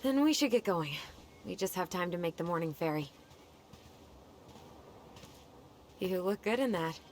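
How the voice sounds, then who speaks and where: A woman's voice: on the low side, lively intonation, fairly quick, darkish tone, close to an everyday voice. A woman acting a friendly, supportive young American woman with a playful, casual manner in naturalistic video game dialogue. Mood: warm. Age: young adult. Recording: game stream with clear background noise.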